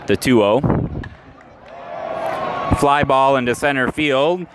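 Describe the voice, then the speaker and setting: A man calling a minor league baseball game as a radio play-by-play announcer, steady and measured, rising sharply on the big plays. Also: mid-range voice, crowd noise, background noise. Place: ballpark, outdoors.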